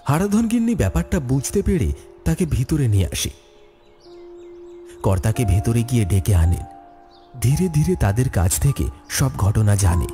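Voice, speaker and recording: A man narrating a scripted horror story in an expressive storytelling manner. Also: medium pitch. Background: music.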